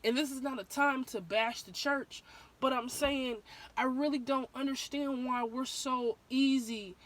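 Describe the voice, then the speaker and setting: Hoarse young woman rambling candidly. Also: high voice, steady tone, unhurried. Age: young adult. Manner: candid and rambling, with many ums and restarts. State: sore throat, slightly hoarse. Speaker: vlogger. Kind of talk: casual opinion vlog.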